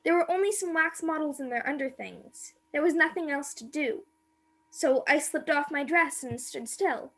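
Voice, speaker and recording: Woman acting a high-voiced, wistful young woman. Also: normal speed, a bit bright. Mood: frightened confession. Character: wistful, naive young woman, romantic, secretly longing to escape. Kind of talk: radio play acting.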